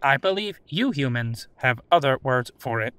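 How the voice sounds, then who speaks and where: A man voicing an old sentient AI in an audio drama, formal and literal, with stilted, deliberate phrasing. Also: mid-range voice, expressive, pushed and bright, smooth, dry recording. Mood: dry, formal. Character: old sentient AI computer, formal, literal, dryly attempting humor. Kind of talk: scripted audio drama interview.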